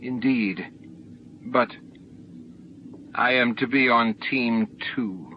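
A man voicing a gentle, affectionate and sorrowful young female alien in an audiobook, speaking formally. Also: mid-range voice, drawn-out words. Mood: pained. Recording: dull noisy audiobook recording.

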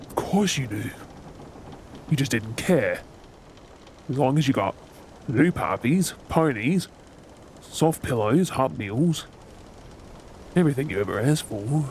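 A man playing a gruff, wounded, bitter yet tender pirate captain in a role-play drama, sardonic and brooding with dramatic pauses. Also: medium pitch, very animated, a little mellow, slight background noise. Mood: accusing. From Australia.

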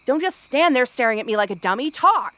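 Woman doing a cranky frog girl princess for fantasy asmr rp, highish voice, sarcastic n bratty, really dry close sound. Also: expressive, fairly quick, fairly bright tone, no room sound. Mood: irritated, bratty. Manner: snappish, sarcastic, tsundere tomboyish bluster. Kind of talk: fantasy ASMR roleplay acting.

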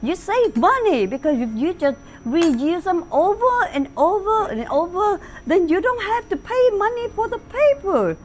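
A woman's voice: very high-pitched, very animated, a little slow, hardly any pauses. A middle-aged woman who owns a restaurant, telling her story with heartfelt enthusiasm in her dining room. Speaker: restaurant owner. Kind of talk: interview testimonial.

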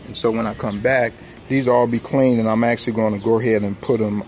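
A man with a lowish voice talks his way through a home project while filming it on his phone outdoors. He rambles easily, full of "um" and "you know", and there is a faint hiss of outdoor noise behind him. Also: quick pace. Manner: relaxed, rambling, many fillers and restarts. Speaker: home improvement vlogger. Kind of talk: casual filming-as-he-works walkthrough. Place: outdoors in a home front yard. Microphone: handheld phone camera.

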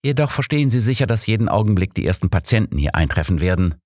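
A man's voice: lowish voice, very animated, fast talker, darkish tone, no room sound, studio quality. A man reading a busy, friendly doctor in an audiobook, polite and formal in manner. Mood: apologetic. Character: courteous, busy male doctor, friendly helper.

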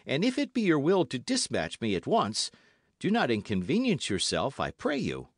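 A man plays a young Gascon swordsman from a storybook, pitched up and very animated. He is earnest and polite, speaking with simple, eager boldness. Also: moderate pace, no room sound, studio quality. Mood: courteous.

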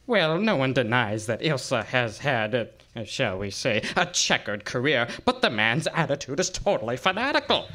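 A man's voice: mid-range voice, sing-song, moderate pace, few pauses, sharp tone. A man playing a florid, lovestruck stage actor in a radio detective drama, given to nervous stammering. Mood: dramatic, insistent.

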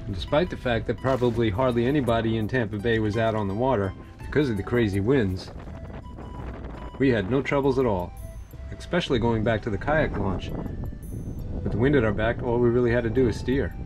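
A man speaks in an easygoing, reflective voice-over, mid-pitched and unhurried. Clear background music plays under his narration. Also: darkish tone, rough recording. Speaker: kayak fishing vlogger.

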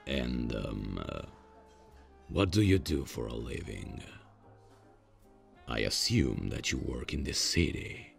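Man voicing a very deep, slow, gravelly demon. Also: soft background music, exaggerated voice. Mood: awkward, deadpan. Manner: slow, stilted, halting, deadpan. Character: awkward, menacing male demon on a blind date. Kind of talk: ASMR audio roleplay, comedic fantasy.

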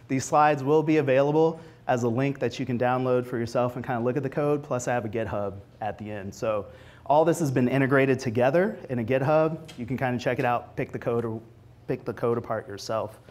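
A man in his forties presenting informally at a tech meetup, conversational, with animated asides, speaking into a lapel mic. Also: mid-range voice, brisk. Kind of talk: informal technical presentation with demos. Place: meetup room, standing before a small audience.

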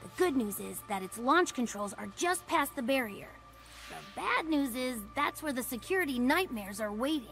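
An eager, brainy little fox boy from a video game with an American accent. The voice is high and animated as he earnestly explains things, with music underneath. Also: moderate pace. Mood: earnest, focused. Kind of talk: video game cutscene acting.

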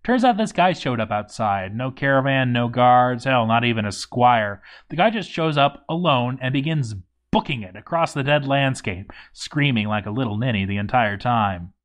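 A man narrates a game log from a script, playing it in character. His voice is mid-pitched, and his delivery is wry and sarcastic, breaking into exclamatory asides. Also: lively intonation, moderate pace, few pauses. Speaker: narrator of a game story read-through.

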